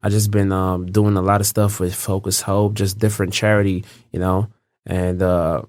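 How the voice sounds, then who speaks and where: A man's voice: low-pitched, flat delivery, moderate pace, a little mellow, no echo, studio quality. A young man from Los Angeles, a guest in a casual interview, talking in a laid-back, rambling way with lots of fillers and restarts.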